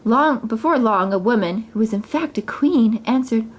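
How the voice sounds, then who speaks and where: A woman reading a children's story aloud as narrator, in an expressive, dramatized way. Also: a little high, normal speed. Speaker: mother reading stories for children.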